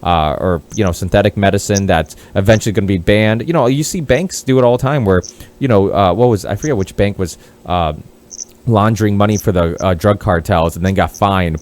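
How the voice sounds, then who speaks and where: Rambling, swearing man, low voice. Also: fairly quick, darkish tone. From Portugal. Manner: rambling, profane, many restarts. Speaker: podcast host. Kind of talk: casual podcast chat.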